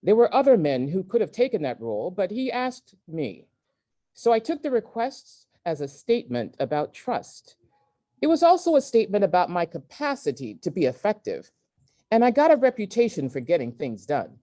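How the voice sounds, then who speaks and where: A woman reading memoir excerpts aloud in a measured, emphatic way, with deliberate pauses. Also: medium pitch, very animated, dark tone. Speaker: guest reader at a virtual event. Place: indoors at a community center, on an online event.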